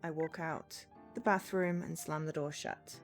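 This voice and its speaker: A woman's voice: low voice, steady tone, measured pace, faint music behind. A woman game streamer chatting live and reading game text aloud, breaking off for exasperated asides.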